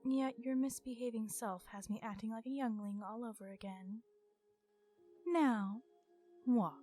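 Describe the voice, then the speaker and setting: An ancient female vampire, acted out by a woman for an ASMR roleplay, speaks in a soft, mellow tone over faint music. She is haughty, possessive and coolly refined, choosing formal words and often dropping to a hush. Her delivery is slow and stern, with irritation held under control. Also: medium pitch, lightly acted. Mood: irritated, menacing. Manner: haughty, measured, formal phrasing, often hushed.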